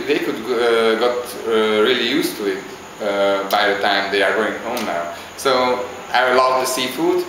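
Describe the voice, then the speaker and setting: A man from Hungary, answering interview questions in a halting, casual way as he searches for words. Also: mid-range voice, noisy background. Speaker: interviewee, visiting traveler. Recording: very echoey with clear background ambience.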